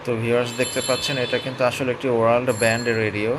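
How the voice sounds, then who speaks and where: A man's voice: mid-range voice, level tone, racing. A man gives a casual, hands-on product review, explaining step by step and often checking in with his listeners. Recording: clear background noise, urban ambience.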